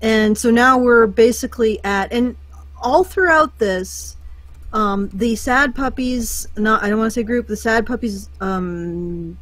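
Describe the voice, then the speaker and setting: A woman's voice: on the high side, unhurried, a bit bright. A woman hosting a podcast who talks in a hesitant, filler-heavy way, interviewing a guest casually. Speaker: podcast host.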